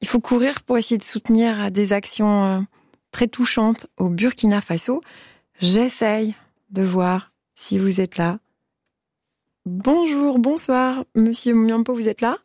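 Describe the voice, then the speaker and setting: A woman hosting a radio interview, asking questions and reacting quickly. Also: a little high, a little mellow, dry recording, clean studio sound. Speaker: radio host.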